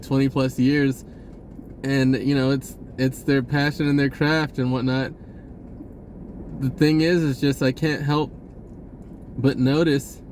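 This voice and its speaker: Man, medium pitch, casual rambling monologue, halting, self-interrupting, informal, noticeable background noise. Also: darkish tone. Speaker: spirituality vlogger.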